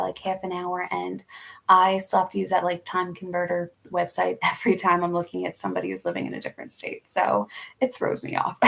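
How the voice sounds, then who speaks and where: A woman's voice: medium pitch, vocal fry. A young woman from Philadelphia with a Philly accent, chatting casually and laughing often as she answers viewer questions in a live stream. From Philadelphia, USA.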